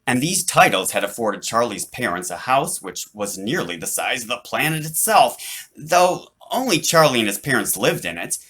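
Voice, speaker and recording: A man reading his own children's fantasy story aloud in an animated, dramatic way, drawing out words for emphasis. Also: mid-range voice, normal speed, breathy edge.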